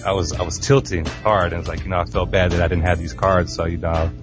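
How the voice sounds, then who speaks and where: A man guests on a casual podcast, chatting in an easygoing, rambling way. His voice is very deep and stays flat in pitch. Music is clearly audible behind him. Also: fast.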